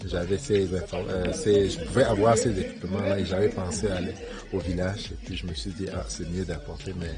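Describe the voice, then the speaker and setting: A man from Cameroon, interviewed as a visiting donor, speaking haltingly with many fillers. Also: deep voice, fairly even intonation. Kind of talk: casual interview.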